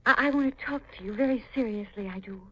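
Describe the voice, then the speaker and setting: A woman's voice: on the high side, quick pace, lightly acted. An American woman playing an anxious young aspiring actress and reluctant accomplice in a 1950s radio mystery, earnest, hesitant and dramatic. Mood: earnest, pleading. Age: young adult. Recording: muffled, lo-fi 1950s radio recording.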